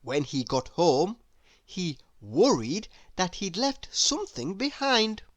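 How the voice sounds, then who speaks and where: Slow, lively woman reading a children's story. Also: fairly low pitch, no echo. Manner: expressive, deliberate. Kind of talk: read-aloud storytelling.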